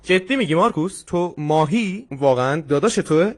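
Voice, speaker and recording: A baffled friend, played by a man, protests in disbelief in a fairly high voice. The line delivery is comic and indignant, as in a cartoon dub. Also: lively intonation, close to an everyday voice. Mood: incredulous. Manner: incredulous, protesting. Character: puzzled, protesting male friend.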